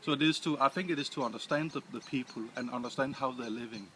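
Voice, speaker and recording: A man from Denmark with a Danish accent, answering interview questions in English with many pauses and restarts. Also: medium pitch, a bit bright, slight background noise, rough recording. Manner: hesitant, many restarts and fillers. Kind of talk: casual interview.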